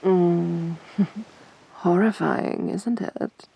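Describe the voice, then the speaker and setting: A woman playing a possessive, teasing siren in a soft, breathy voice for an ASMR roleplay, with a seductive, toying manner. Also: on the low side, steady tone, exaggerated voice. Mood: teasing, menacing. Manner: slow, seductive, toying, assertive. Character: beautiful sadistic siren, winged monster woman, teasing, possessive.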